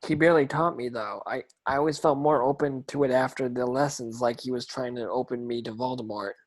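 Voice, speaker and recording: A man's voice: medium pitch, fairly even intonation, brisk, close to an everyday voice. A man playing a troubled, distrustful teenage boy wizard in a script read-through, in a plain, questioning manner. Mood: defensive.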